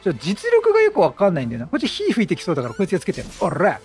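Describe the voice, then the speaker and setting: High-voiced, animated man commentating a game. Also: very fast, faint music behind. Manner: chatty, exclamatory, playfully mimics characters. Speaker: gameplay streamer. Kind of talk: video game commentary, reacting excitedly.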